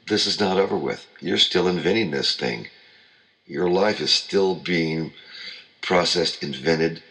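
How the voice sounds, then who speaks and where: A man's voice: extremely low pitch, creaky, bright, forceful tone. A middle-aged man hosting a motivational talk show, speaking in an earnest, heartfelt way and repeating his points for emphasis.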